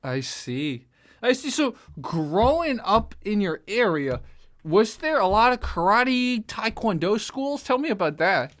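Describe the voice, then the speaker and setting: A man's voice: high voice, very animated, measured pace, a bit bright. Enthusiastic male fight channel host. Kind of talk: casual interview.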